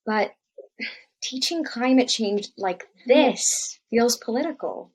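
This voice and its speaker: A woman's voice: mid-range voice, darkish tone, close to an everyday voice. A woman acting as a troubled young first-year science teacher in a scripted podcast drama, earnest and hesitant. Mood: worried, defensive.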